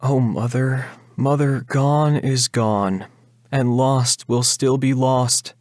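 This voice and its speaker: A man's voice: mid-range voice, fairly even intonation, slow, a little mellow, no echo, studio quality, lightly acted. A man voicing a wildly despairing, defiant young woman, anguished and declamatory, in a dramatic reading of a gothic ballad.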